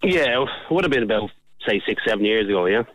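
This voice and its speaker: A man's voice: on the low side, animated, quick pace, fairly bright tone, dry recording, poor audio. A middle-aged Irish man phoning in to a radio show, talking in an easygoing, slightly defensive way. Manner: easygoing, defensive, repeats "you know what I mean". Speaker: caller.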